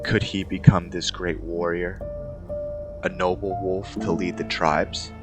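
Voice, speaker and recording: A man with a deep voice hosts and narrates a fantasy game playthrough. He takes his time over each phrase. Faint music plays underneath. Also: level tone, measured pace. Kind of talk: dramatic story narration, then informal commentary.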